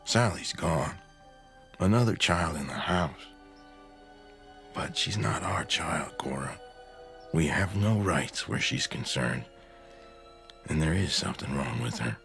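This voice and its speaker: An actor playing a practical, gently firm middle-aged husband, measured and matter-of-fact in a radio drama. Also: male voice, very deep voice, expressive, creaky, soft background music, exaggerated voice. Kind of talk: low-key radio drama acting.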